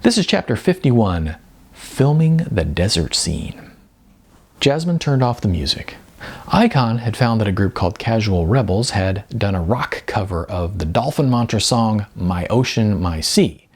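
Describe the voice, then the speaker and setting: A man narrating a scripted story as an audiobook reader, with a gravelly, husky voice. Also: lowish voice, lively intonation, unhurried.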